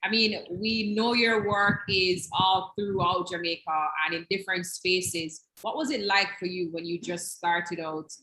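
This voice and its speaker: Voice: mid-range voice, steady tone, a little slow, few pauses, sharp tone, reverberant, low-quality recording. An interviewer asking long questions and thanking the guest in an online meetup.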